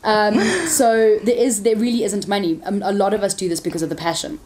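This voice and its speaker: A woman's voice: mid-range voice, steady tone, a little fast, darkish tone, slight background noise. Frank woman presenter. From South Africa. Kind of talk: candid interview answers.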